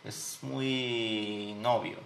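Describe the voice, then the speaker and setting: A man's voice: lowish voice, steady tone, extremely slow, creaky, fairly bright tone, slight background noise. A man with a Peruvian Spanish accent commenting on a game in a mocking, joking way. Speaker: gaming host and dating instructor. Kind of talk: let's play commentary.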